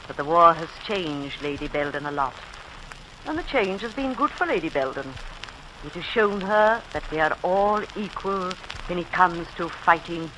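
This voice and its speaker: Elderly British aristocrat, stately and theatrical, swooping pitch, bright forceful tone, 1940s radio drama. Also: female voice, slight background noise, rough recording. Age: older. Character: grand old aristocratic lady, proud, stirred to patriotism. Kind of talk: 1940s radio acting, rousing speech.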